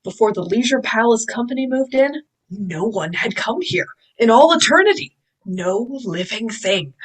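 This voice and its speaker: Woman playing a pompous professor, animated, high-pitched. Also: moderate pace, breathy edge, low-quality recording. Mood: enthusiastic, lecturing. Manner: lecturing, emphatic. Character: pompous, enthusiastic male professor lecturing tourists. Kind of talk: fan table read of sci-fi drama.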